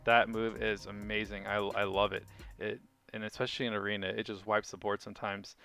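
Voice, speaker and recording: A man's voice: fairly low pitch, level tone, a little fast, vocal fry, pushed and bright, clear, resonant tone, soft background music. A man giving a casual game guide commentary as a video host, chatty and informal, thinking aloud as he goes.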